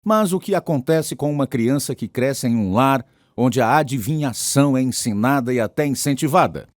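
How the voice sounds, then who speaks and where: A man's voice: medium pitch, lively intonation, normal speed, dry recording, clean studio sound. A man with a Brazilian accent giving scripted, solemn dramatic narration as a radio programme announcer.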